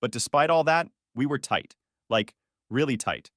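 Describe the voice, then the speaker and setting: A man narrates a reddit story in an upbeat storytelling way, his voice dropping low at the ends of sentences. His pitch sits in the middle for a man. The sound is close and dry, with no room echo. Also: animated, normal speed, fairly bright tone.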